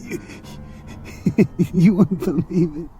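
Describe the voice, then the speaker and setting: A man's voice: sits high, slow, mellow, muffled recording, faint music behind. An actor playing a weak, dying young crewman, gasping and desperate in a radio drama. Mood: desperate, fading. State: feeble, gasping. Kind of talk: dramatic radio play acting.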